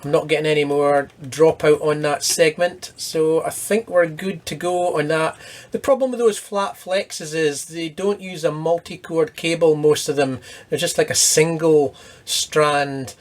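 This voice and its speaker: A man's voice: on the high side, fairly even intonation, a little slow. A man presenting a hobby electronics repair in his workshop, talking informally and thinking aloud as he works. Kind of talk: casual hands-on demonstration commentary. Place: workshop, at a workbench.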